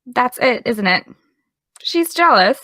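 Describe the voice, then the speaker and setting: A woman's voice: fairly high pitch, animated, takes it slowly, sharp tone, no room sound, exaggerated voice. A woman playing a needling, indignant young American woman in an audio drama, petulant and provoking. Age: young adult.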